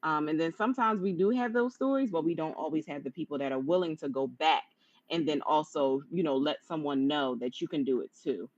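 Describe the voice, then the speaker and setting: Low-voiced woman, dull lo-fi audio. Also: fairly quick, darkish tone, no room sound. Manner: encouraging, affirming. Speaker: interview host. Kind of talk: conversational live-streamed interview. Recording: dull lo-fi online stream audio.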